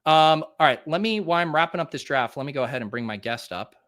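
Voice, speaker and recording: A man's voice: on the high side, lively intonation, fairly bright tone. A man with a nasal voice hosting a live stream, chatting casually and thinking aloud while he drafts fantasy football players. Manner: rapid, chatty thinking aloud with many fillers.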